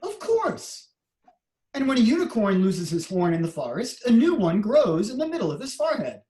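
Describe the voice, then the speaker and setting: A woman playing a gentle, clever, playful court jester in a theatrical storybook reading. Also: medium pitch, very animated, normal speed, reverberant, close to an everyday voice. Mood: gentle wonder.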